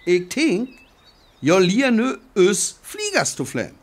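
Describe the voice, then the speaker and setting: An actor with a North Frisian accent playing a jumpy, embittered soldier in a radio play, speaking in gruff, broken phrases. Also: male voice, high-pitched, huge pitch swings, slow pace, exaggerated voice. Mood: bitter, agitated. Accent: North Frisian (Föhr). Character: jumpy, embittered male soldier holed up after defeat.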